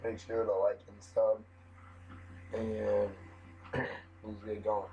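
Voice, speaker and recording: A young man with a cold and a hoarse voice, rambling through casual commentary while modding a game, often restarting his sentences. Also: fairly low pitch, completely flat delivery, takes it slowly, warm tone. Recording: dull lo-fi mic with faint noise.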